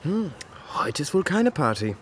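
An actor playing a sarcastic, loyal teenage friend who makes dry, slangy quips in a naturalistic radio drama. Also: male voice, on the high side, big pitch swings, unhurried, husky, full character voice. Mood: dry, mocking.

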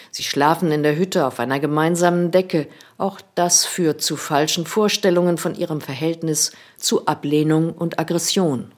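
A woman reading a scripted radio book review in a calm, measured way. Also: fairly low pitch.

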